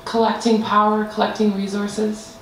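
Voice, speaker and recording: A woman's voice: medium pitch, monotone, normal speed. Woman presenting in an echoey room. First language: not Danish. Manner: hesitant, many fillers and restarts. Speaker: student presenter. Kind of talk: group design presentation. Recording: echoey room, mic far off, faint noise.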